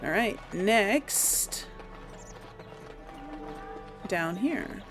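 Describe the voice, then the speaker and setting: A woman's voice: medium pitch, lively intonation, extremely slow, over music. A woman doing casual game commentary, musing aloud to herself and her audience. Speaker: let's play host. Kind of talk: casual game commentary with some role play.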